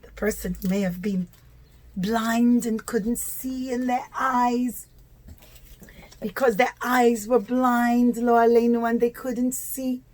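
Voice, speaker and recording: A woman's voice: on the high side, steady tone, slow, darkish tone. A woman telling a story to young children as a teacher, with simple, emphatic, repetitive phrasing. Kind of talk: animated children's puppet-show storytelling.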